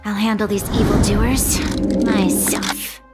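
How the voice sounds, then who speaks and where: A woman's voice: mid-range voice, flat delivery, slow pace, close to an everyday voice. A woman voicing a stern, dutiful young military commander in an anime game, formal and resolute. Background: game music.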